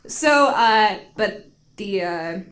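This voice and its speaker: A woman's voice: medium pitch, animated, drawn-out words, a bit bright. A woman chatting casually as a podcast co-host, telling a story in a giggly, sweary way full of "like". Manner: giggly, sweary, heavy use of "like". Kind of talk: casual comedic chat, storytelling.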